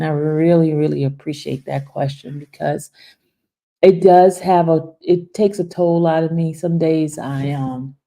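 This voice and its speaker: Mellow-voiced woman in her fifties chatting. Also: low voice. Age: 50s. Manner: chatty and teasing, addresses viewers by name; earnest and reflective when talking about stress. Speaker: livestream host reading viewer comments. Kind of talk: casual live-stream chat.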